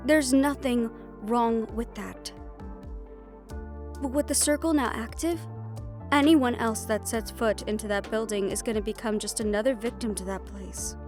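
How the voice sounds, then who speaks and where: Woman playing a high-voiced, protective occult mentor. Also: fairly even intonation, normal speed, darkish tone, faint music behind, lightly acted. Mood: urgent reassurance. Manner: reassuring but firm, explanatory. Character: protective, knowledgeable female occult investigator and mentor. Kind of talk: audio roleplay acting.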